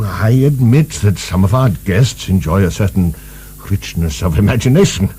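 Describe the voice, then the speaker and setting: An actor playing an urbane, wry asylum director speaking conversationally in a radio drama. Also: male voice, medium pitch, dark tone, close to an everyday voice, rough recording. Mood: genial, smooth. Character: urbane, genial, musing male asylum director. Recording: 1990 radio broadcast, faint hum.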